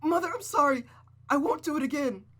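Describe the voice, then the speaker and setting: A reader voicing a frightened teenage girl who pleads with her mother in a high, panicked voice. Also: expressive, a little fast, pushed and bright. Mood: desperate pleading. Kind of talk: dramatic reading of a visual novel.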